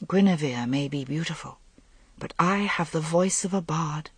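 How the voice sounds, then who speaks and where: A woman voicing a proud, sharp-tongued young priestess of legend, formal and assertive in her speech. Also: sits low, moderate pace, husky, no echo. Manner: assertive, formal archaic phrasing.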